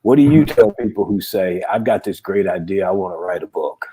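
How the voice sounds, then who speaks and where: A man hosting a casual interview show, chatty and halting, with frequent fillers. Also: deep voice, warm tone, a little hiss or hum, rough recording. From Titusville. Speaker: host.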